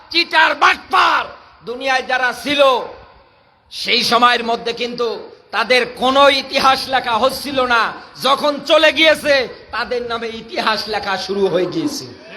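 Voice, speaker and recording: A man's voice: very high-pitched, bright, forceful tone. A Bangladeshi man preaching an impassioned Islamic sermon in a declamatory manner, prodding his listeners with questions. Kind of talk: fiery outdoor-rally sermon with Quran recitation. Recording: noisy live public-address recording.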